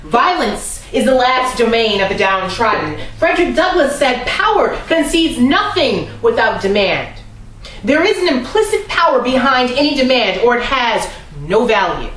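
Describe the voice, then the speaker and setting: A woman performing a dramatic monologue as a defiant, oppressed defendant, speaking deliberately and solemnly with weighted pauses. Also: a little high, very animated, a bit bright. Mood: solemn defiance. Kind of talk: dramatic courtroom monologue reading. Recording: roomy, distant mic with faint noise.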